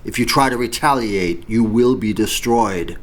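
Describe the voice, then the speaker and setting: A man playing a menacing, imperious space warlord in a sci-fi audio drama, speaking in cold, measured, grandiose threats. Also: fairly low pitch.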